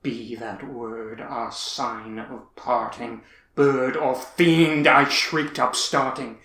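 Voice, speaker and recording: Guy playing a tormented grieving poet, slow dramatic recital w long pauses, pretty echoey room. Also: medium pitch, fairly even intonation, bright, forceful tone. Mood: furious. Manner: theatrical, declamatory, with long pauses. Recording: roomy, echoing space.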